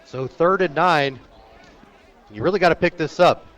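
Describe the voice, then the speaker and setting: Male radio play-by-play sportscaster, fairly high-pitched, clipped descriptive delivery for live high school football. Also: normal speed. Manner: clipped descriptive phrases, excited on big plays. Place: outdoor stadium, live radio broadcast.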